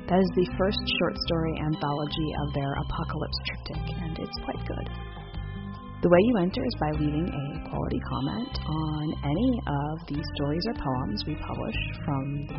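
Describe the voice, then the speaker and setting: A woman podcast host with a fairly low voice delivers a scripted introduction. Clearly audible plucked guitar plays behind her, and the recording sounds a little dull and lo-fi. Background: plucked guitar music.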